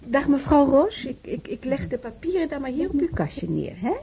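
An actress playing a pious, well-meaning church visitor in a radio play, earnest and fussy, often repeating herself. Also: female voice, sits high, very animated, normal speed, mellow, muffled recording, a little hiss or hum. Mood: earnest, solicitous. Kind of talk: radio drama acting.

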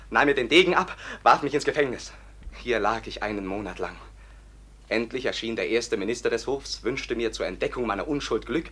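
A man's voice: mid-range voice, a bit bright, husky. An actor playing a passionate, wronged young Bohemian nobleman in a radio play, declaiming with earnest desperation. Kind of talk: classic German radio play acting.

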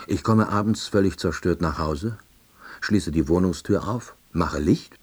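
A man playing a wry, self-deluding office worker who narrates his story in a deadpan, reflective way. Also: low voice, a little slow, breathy edge. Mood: dry musing. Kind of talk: first-person radio play narration.

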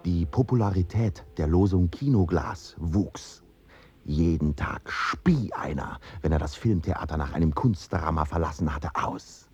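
An actor playing an intense Soviet avant-garde filmmaker in a German radio play, husky and deliberate, declaiming in short phrases. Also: male voice, deep voice, a little mellow, exaggerated voice. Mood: brooding, scornful. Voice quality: husky, rough.

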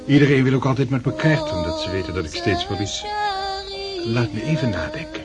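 A man's voice: mid-range voice, animated, a little fast, over loud music, natural voice. A man playing a good-natured count who is fond of chess but loses, in a radio play. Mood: musing. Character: jovial fatherly count, bumbling at chess.

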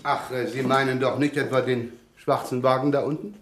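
Radio comedy thriller: male actor as sly, chatty gentleman caller, mock-polite and self-assured, mid-range voice. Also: medium pitch, steady tone, brisk, lightly acted. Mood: cheeky, self-assured. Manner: chatty, ironically courteous, teasing. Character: smooth, talkative, cheeky male visitor, self-assured and slyly polite. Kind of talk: German radio crime comedy acting.

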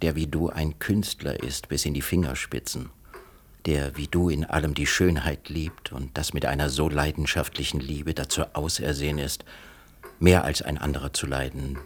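Radio drama: a naive, devoted gentleman friend, courteous and earnest, extremely low bass, faint background noise. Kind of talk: German radio drama acting.

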